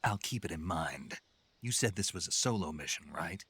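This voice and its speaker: A gruff, husky soldier. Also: male voice, deep voice, lively intonation, moderate pace, a bit bright, no room sound, exaggerated voice. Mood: wry. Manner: laconic and sardonic. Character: gruff male special forces soldier, dry wit. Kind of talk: game dialogue.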